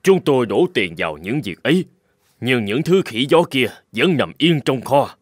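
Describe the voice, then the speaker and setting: A man's voice: medium pitch, very animated, normal speed, dry recording, clean studio sound. A man voicing a stern, demanding and dismissive company director in a cartoon, speaking bluntly and scornfully. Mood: exasperated. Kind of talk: dubbed cartoon dialogue.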